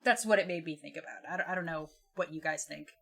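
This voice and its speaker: A woman on a podcast panel discussing books casually, thinking aloud in an animated way. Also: sits low, fast talker, slightly rough.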